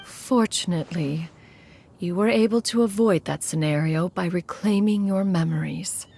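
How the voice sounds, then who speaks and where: A woman's voice: fairly low pitch, moderate pace, vocal fry, dark tone, a little hiss or hum. An actress voicing an ancient female crane spirit in a video game, formal and aloof, speaking in a stately, archaic way. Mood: grave. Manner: stately, archaic, refers to herself as "one". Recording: game score behind. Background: music.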